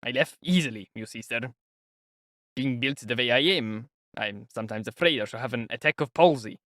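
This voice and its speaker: A man's voice: mid-range voice, normal speed, pushed and bright, dry recording, clean studio sound, natural voice. A man voicing a slyly jovial investigator in an audiobook, fussing and clucking in an effusive, ingratiating manner. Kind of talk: audiobook character acting.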